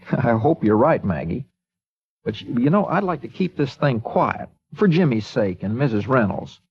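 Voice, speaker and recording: An actor playing an earnest small-town newspaper editor, pleading and indignant, in 1940s radio acting. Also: male voice, mid-range voice, sing-song, normal speed. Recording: muffled old radio recording.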